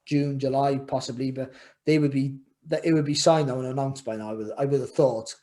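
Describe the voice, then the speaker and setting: A man's voice: medium pitch, fairly even intonation, brisk, mellow. A middle-aged man from Wales, a former boxer chatting as a podcast guest over a video call. He talks earnestly, repeating phrases and restarting, then turns emphatic. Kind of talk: casual interview chat. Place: home room, indoors.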